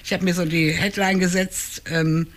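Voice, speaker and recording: A woman in her sixties from Hannover, speaking in a casual radio interview and pausing often with fillers and restarts. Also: fairly low pitch, flat delivery. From Hannover, Germany. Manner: hesitant, many fillers and restarts. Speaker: crime novelist guest.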